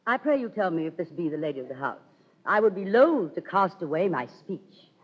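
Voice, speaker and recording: A woman's voice: lowish voice, sing-song, brisk. An actress playing a young page, a woman disguised as a boy, declaiming floridly in 1930s radio Shakespeare. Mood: flattering. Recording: muffled 1930s radio broadcast.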